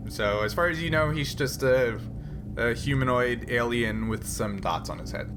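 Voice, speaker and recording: Male game master, tabletop role-play, halting explanations, medium pitch, clearly noisy background. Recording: noisy recording with room ambience.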